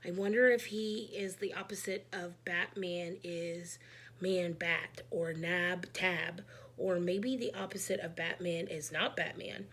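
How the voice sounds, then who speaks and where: A woman reading a children's book aloud, narrating expressively in an animated, emphatic way. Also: fairly low pitch, measured pace. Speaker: read-aloud storyteller.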